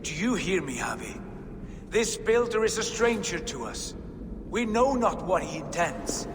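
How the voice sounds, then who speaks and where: An actor playing a loyal, cautioning warrior god in a video game, speaking in earnest, measured, formal counsel. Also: male voice, high-pitched, very animated, slight background noise. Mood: concerned. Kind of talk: dramatic game cutscene acting. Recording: echoing hall reverb under music.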